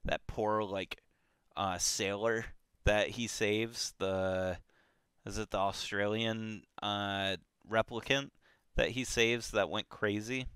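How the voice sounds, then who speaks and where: Book club co-host, male; bright, unhurried, hesitant casual talk with lots of restarts. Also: on the low side, smooth, dry recording, clean studio sound. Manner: relaxed, hesitant, many fillers and restarts.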